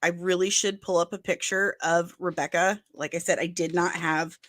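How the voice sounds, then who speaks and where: Casual stream host, middle-aged woman, nasal, talks with emphasis and quick asides, close dry mic. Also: fairly low pitch, steady tone, a bit bright, no room sound. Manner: chatty, emphatic, with asides and laughs. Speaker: pop culture news host. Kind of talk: casual livestream chat.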